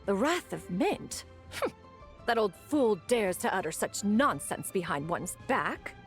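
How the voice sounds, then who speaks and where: A woman playing a proud, sharp-tongued immortal in a fantasy game, with formal, archaic diction. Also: mid-range voice, sing-song, a little slow, soft background music. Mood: disdainful. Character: haughty, learned female immortal adeptus, sharp-tongued. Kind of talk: theatrical fantasy game voice acting.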